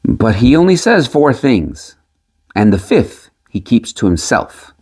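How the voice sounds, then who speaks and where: A man voicing a sly peasant trickster in a storybook, with a measured, cunning delivery. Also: medium pitch, big pitch swings, darkish tone, breathy edge. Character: sly male peasant trickster selling prophecies.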